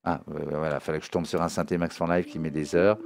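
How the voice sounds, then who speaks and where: A man giving an informal spoken tutorial as a music software trainer, explaining as he demonstrates and often checking that listeners follow. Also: rumbling low, flat delivery, fast talker, vocal fry, noisy background. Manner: conversational, checks understanding often with short tag questions. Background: music and synth demo sounds.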